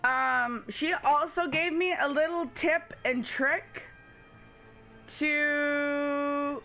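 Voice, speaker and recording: Chatty woman streamer over soft music. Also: high voice, fairly even intonation, drawn-out words. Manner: chatty, informal, addresses viewers directly. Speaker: game streamer, host. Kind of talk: casual livestream chat to viewers. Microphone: headset.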